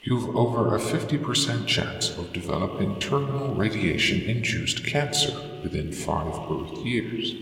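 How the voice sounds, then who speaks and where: A man voicing a cold, implacable ship's AI in a sci-fi audio drama, speaking in a formal, impassive, measured way. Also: fairly low pitch, expressive, gravelly, fairly bright tone, slight background noise, full character voice. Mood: clinical, detached. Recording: echoing, distant, long reverberant tail.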